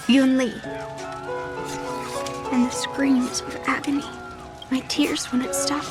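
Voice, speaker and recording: High-voiced, slow-speaking young swordswoman. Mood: haunted, grieving. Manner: measured, solemn, dramatic pauses. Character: earnest, resolute young swordswoman haunted by childhood trauma. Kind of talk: dramatic video game story dialogue.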